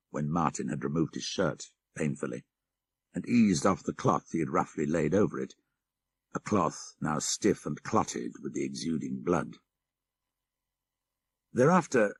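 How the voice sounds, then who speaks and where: A man reading a classic horror story as an audiobook narrator, with measured, literary phrasing and dramatic delivery. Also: extremely low pitch, husky. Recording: 1990s audiobook cassette.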